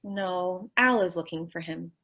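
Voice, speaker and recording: A woman's voice: lowish voice, unhurried, a bit bright. A woman playing a sweet, naive, scatterbrained young shop clerk in an old-time radio comedy, earnest and guileless in her delivery. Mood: plaintive, determined. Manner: earnest, guileless, literal-minded.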